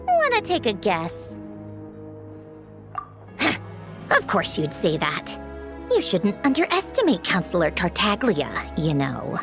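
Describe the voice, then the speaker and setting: A woman voicing a haughty, teasing, tea-loving noblewoman in a video game, with a poised, smug, refined manner. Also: on the high side. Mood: smug, businesslike. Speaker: high-ranking official of an organization. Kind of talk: scripted video game character dialogue.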